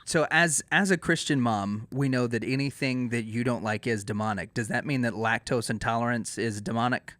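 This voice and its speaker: A man of average pitch co-hosts a casual interview show. He comments and asks questions conversationally. The recording is very dry, with no room around the voice. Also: normal speed, hardly any pauses, a bit bright, no room sound. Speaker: podcast co-host, interviewer.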